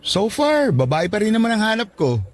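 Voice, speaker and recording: A man's voice: sits high, big pitch swings, normal speed. An actor playing a friendly, easygoing young male co-worker with a Filipino accent in a radio drama. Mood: friendly, joking.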